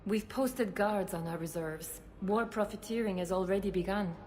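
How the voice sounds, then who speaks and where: A woman's voice: medium pitch, normal speed, darkish tone, natural voice. A woman playing a composed, caring head physician in a dramatic video game. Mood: worried. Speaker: doctor. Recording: ambient background noise.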